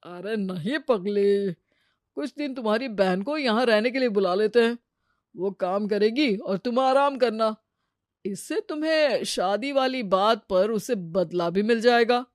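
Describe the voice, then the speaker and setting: A woman voicing a practical, teasing married elder brother in a family story, with a brisk manner. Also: mid-range voice, no room sound, clean studio sound, close to an everyday voice. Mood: coaxing. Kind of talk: Hindi family moral story dramatization.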